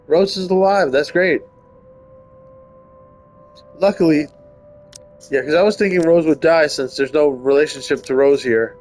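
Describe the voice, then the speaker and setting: A man with a fairly high voice reads story narration aloud for a visual novel playthrough. He slips into casual, wry, nitpicking asides, with music playing behind him. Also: unhurried, pauses often, darkish tone. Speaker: let's player reading a visual novel aloud. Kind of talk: reading narration aloud with casual riffing commentary.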